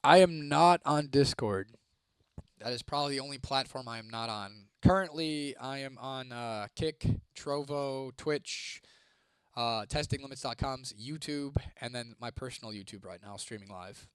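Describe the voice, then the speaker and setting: A man's voice: mid-range voice, moderate pace, clear, resonant tone, no room sound. Casual swearing streamer guy. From Toledo, Ohio, United States. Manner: laid-back, swears freely, laughs often. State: voice getting hoarse. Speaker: livestreamer. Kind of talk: casual live-stream chat reading comments.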